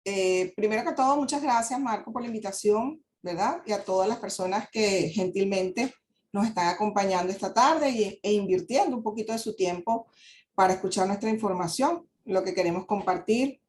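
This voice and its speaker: A woman's voice: mid-range voice, normal speed, darkish tone, slight background noise. Polite woman presenting in a webinar. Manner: polite, explanatory, hesitant fillers. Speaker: guest speaker, real estate advisor.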